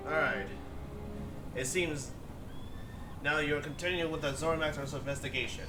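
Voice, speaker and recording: Man, higher-pitched voice, casual game recap, halting delivery, off-mic, music underneath. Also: fairly even intonation. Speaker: gaming video narrator. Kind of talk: casual, improvised story recap commentary.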